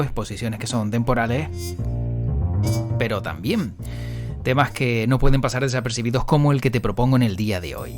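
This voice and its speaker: A man presenting and interviewing as a podcast host. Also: mid-range voice. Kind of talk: scripted intro narration and interviewing.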